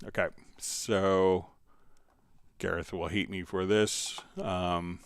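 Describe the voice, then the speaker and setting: Low, smooth-voiced man teaching software. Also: level tone, slow, no room sound. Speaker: livestream host, software instructor. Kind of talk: casual live software tutorial.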